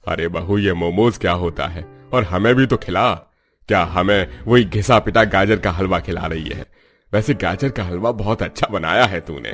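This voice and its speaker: Man playing a low-voiced grumbling older father-in-law. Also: moderate pace, fairly bright tone. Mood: playful grumbling. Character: older father-in-law, hungry, playfully grumbling family elder.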